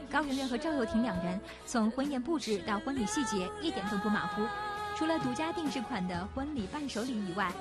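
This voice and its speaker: High-pitched woman narrating over loud music. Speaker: news reporter narrator. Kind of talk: scripted entertainment news narration.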